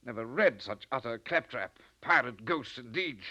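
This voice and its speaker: An actor playing a stuffy, sceptical British destroyer captain, brisk and condescending, in 1940s radio acting style on a dull old recording. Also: male voice, mid-range voice, expressive, bright, forceful tone. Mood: placating, brisk.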